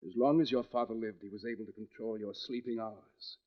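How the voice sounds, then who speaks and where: An actor playing an intense, accusing man with deliberate, insistent menace in a 1940s radio mystery, on a dull vintage recording. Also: on the low side, steady tone, natural voice.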